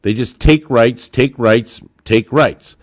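A man's voice: mid-range voice, takes it slowly, no room sound. A man hosting a podcast of opinionated political commentary, speaking in an emphatic, sarcastic way and repeating words for emphasis. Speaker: podcast host.

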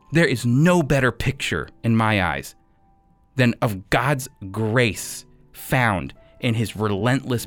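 A man teaching as a radio host in a scripted, sermon-like style, with emphatic, deliberate phrasing. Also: medium pitch, no room sound.

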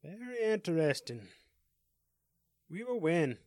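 A man voicing a mysterious male crime boss in an audio story, in a measured, commanding manner. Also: fairly high pitch, big pitch swings, darkish tone, dry recording, clean studio sound, pitched above the performer's own voice, heavily acted. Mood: cool command.

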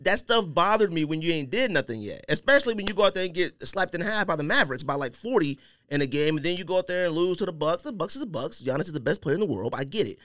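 Guy on a sports pod, bit high pitched, super animated, slangy n emphatic, dry mic. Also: quick pace, few pauses, no room sound. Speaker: sports podcast co-host. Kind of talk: casual sports chat.

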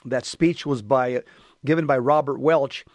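A man's voice: mid-range voice, steady tone, measured pace, no room sound, clean studio sound. An American man hosting a talk radio show, giving emphatic monologue commentary in which he repeats and restates his points.

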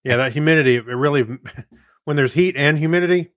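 A man's voice: a little high, fairly quick, a bit bright, no room sound, studio quality. An American man co-hosting a podcast, chatting casually in an easygoing, rambling way. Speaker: podcast co-host. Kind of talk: casual chat.

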